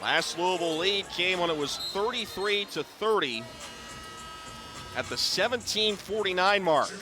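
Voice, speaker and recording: A middle-aged man calling live basketball on radio in clipped running descriptions that can rise to excited calls. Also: high-pitched, measured pace. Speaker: play-by-play basketball announcer. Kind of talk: live radio sports commentary. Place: courtside in a basketball arena.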